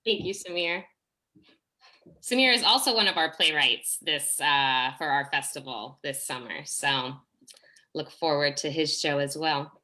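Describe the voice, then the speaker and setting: A woman director chats in an online talk-back. Her voice sits a little low but has a bright, forceful edge. It comes over a video call from indoors. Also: unhurried. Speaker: theater director.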